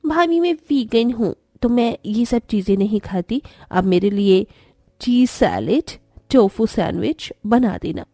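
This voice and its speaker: This is a modern young sister-in-law in a cartoon family story. Her voice is pitched up, with a fussy, condescending manner. It is recorded dry. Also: expressive, unhurried, dark tone, no echo. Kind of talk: Hindi cartoon story voice acting.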